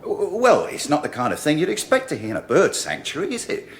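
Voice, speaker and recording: A man's voice: a little high, huge pitch swings, brisk, slight background noise. An actor playing a chummy, jokey male friend who laughs a lot and later stammers nervously as a witness in a radio drama. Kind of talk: 1980s radio drama acting.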